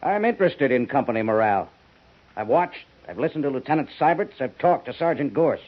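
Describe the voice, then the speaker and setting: Husky, lively-voiced major played by a man, firm and questioning, muffled old radio sound. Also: mid-range voice, a little fast, lightly acted. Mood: probing. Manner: persistent, questioning. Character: probing fort major, firm commanding officer. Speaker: army major. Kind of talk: 1950s radio drama acting. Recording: muffled 1950s radio broadcast.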